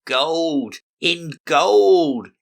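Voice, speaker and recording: An actress voicing a relentless, insistent phantom in a stage drama, chanting, heard at a distance among other voices. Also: female voice, sits low, animated, extremely slow, vocal fry, exaggerated voice. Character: relentless, clamouring phantom from a play.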